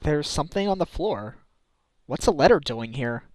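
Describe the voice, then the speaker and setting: A man's voice: on the high side, expressive, moderate pace, echoey room, noisy background, lightly acted. A man voicing an earnest, slightly hapless defence lawyer in a game fandub, wry and musing. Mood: lonely. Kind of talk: video game fandub acting with inner monologue.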